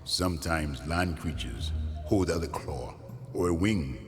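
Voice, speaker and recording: A wise, calm guide from a fantasy island. His voice is deep and measured, explaining things with patient assurance. It is audio drama acting with music clearly underneath.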